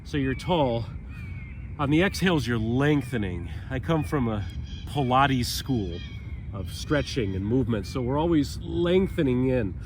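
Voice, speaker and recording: A man leading a stretching routine as fitness instructor, cueing calmly and chatting in between, with a slightly stuffy nose, outdoors. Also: medium pitch, unhurried, background noise. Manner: calm, chatty cueing with breathy sighs.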